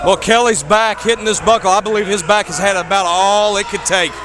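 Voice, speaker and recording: A man calling indie wrestling matches with shouted, hyped play-by-play while losing his voice. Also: high-pitched, steady tone, a little fast, very noisy. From Kentucky. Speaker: wrestling commentator. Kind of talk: excited play-by-play commentary. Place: indie wrestling event venue. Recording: loud crowd and arena music behind.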